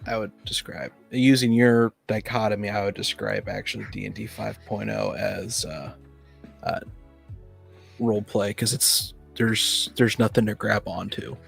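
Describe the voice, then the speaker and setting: A man's voice: fairly low pitch, unhurried, gravelly, breathy edge, faint music behind. A man chatting on a discussion panel, often stammering and repeating words as he makes his point. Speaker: podcast panelist, tabletop player. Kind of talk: casual discussion of tabletop gaming.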